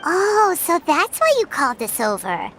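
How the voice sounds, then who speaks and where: A woman voicing a tiny, chatty, excitable childlike fairy companion in an anime video game, with a squeaky voice. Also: unhurried, exaggerated voice. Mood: excited, inquisitive. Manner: exclamatory, third-person self-reference. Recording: loud music behind the voice.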